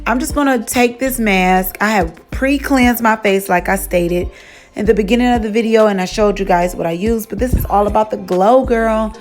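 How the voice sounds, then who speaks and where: A young woman beauty vlogger chatting casually and rambling with fillers, her voice a little hoarse from losing it. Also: mid-range voice, a little mellow. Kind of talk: casual chat. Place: vacation lodging, indoors.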